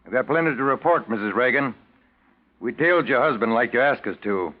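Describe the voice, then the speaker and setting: Male actor, tough private detective, matter-of-fact, slangy, animated intonation, 1940s radio acting, narrow tinny recording. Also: mid-range voice, fairly quick, natural voice. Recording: thin phone-like 1940s radio sound.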